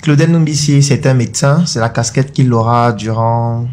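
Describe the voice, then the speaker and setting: A man who is a doctor and a podcast co-host, speaking in a steady, explanatory way. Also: medium pitch, moderate pace, a little hiss or hum. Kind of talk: conversational self-introduction.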